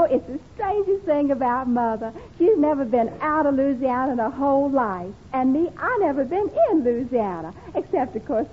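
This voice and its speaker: An actress plays a scatterbrained secretary in a vintage radio comedy. Her voice is very high, and she rambles on with innocent, muddled logic. The recording is thin, old and faintly noisy. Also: close to an everyday voice. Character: scatterbrained, cheerfully dim woman office secretary. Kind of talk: 1940s-50s radio sitcom acting. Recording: old muffled narrow-band radio broadcast, hiss.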